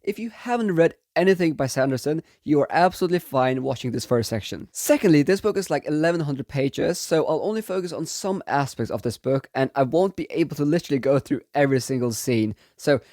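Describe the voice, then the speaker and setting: A man's voice: fairly high pitch, lively intonation, fairly quick, few pauses, a little mellow, no echo, studio quality. A young man reviewing a fantasy novel in a casual, chatty way while he has a cold. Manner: enthusiastic, chatty, repeats himself. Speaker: book reviewer. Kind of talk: casual book review vlog.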